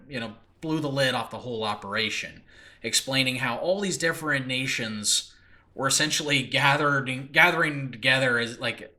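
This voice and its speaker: A man's voice: medium pitch, bright, forceful tone. A man hosting an informal solo talk about his own fictional world, rambling and often interrupting himself with asides. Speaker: podcast host and audio drama creator. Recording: makeshift home recording.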